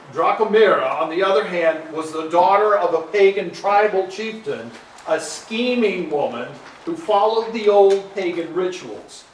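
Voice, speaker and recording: A man narrating a scripted stage story in a deliberate storytelling manner. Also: sits high. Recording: echoey hall, distant mic, faint noise.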